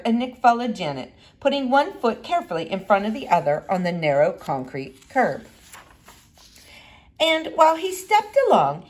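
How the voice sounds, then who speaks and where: Female reader for children's fiction: expressive, lively intonation, clear narrative emphasis. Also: medium pitch. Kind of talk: read-aloud children's storybook narration.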